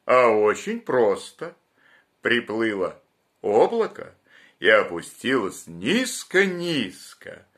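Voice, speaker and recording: A knowing old woodpecker from a storybook, calm and matter-of-fact, as a man puts him on. He speaks slowly and plainly, with a bright edge to the voice. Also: lowish voice, heavily acted.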